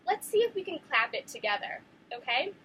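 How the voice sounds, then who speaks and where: Woman teacher, classroom read-aloud, encouraging, high voice, very lively intonation. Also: a little fast, noisy background. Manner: animated, encouraging, questions to children.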